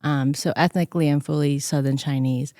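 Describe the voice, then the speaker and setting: A woman's voice: low voice, fairly quick, dry recording, clean studio sound. A middle-aged woman from Cambodia, telling her family story as a podcast guest in a chatty, casual way. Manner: chatty, many fillers, animated retelling.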